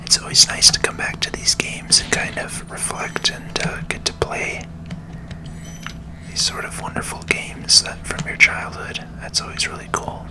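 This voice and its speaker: A person whispering ASMR commentary while playing a video game, in a gentle, unhurried manner. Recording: music under the voice. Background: game music.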